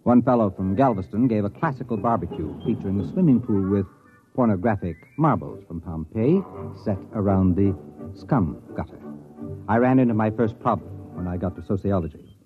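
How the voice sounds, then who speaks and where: An actor playing a harried, glib university president in a 1950s radio comedy drama, with a dry, wry delivery. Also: male voice, lowish voice, animated, normal speed, faint music behind, lightly acted. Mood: wry, narrating. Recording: tinny, band-limited old radio broadcast.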